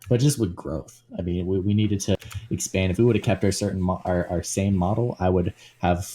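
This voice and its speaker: A man's voice: sits low, steady tone, brisk, mellow. A man of twenty talking casually on a podcast, easygoing and rambling, often restarting his sentences. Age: 20s. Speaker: community leader and guest.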